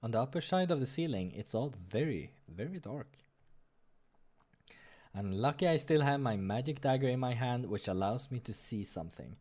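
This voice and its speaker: A man's voice: medium pitch, expressive, moderate pace, mellow. A man reading a visual novel aloud as a let's player, dramatic with casual asides and the odd stumble, over music. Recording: music behind the voice.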